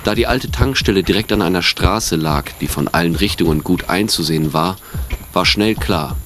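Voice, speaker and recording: Very low-voiced male narrator, noisy recording. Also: moderate pace, fairly bright tone. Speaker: narrator of an audio drama. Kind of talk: scripted narration.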